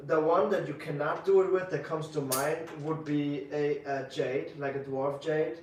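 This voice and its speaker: A man co-hosting a live bonsai demo, explaining his work in a relaxed, joking way. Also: a little high, measured pace, a little hiss or hum. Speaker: bonsai demonstrator, co-host. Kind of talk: live-stream demo chat. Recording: echoey room, far from mic.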